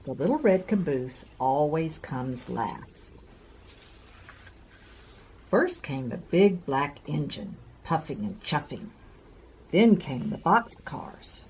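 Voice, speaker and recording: A woman in her sixties reading a picture book to young children with deliberate, sing-song emphasis. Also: sits low, very animated, darkish tone, slight background noise. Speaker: children's storyteller, host. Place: carpeted room at home.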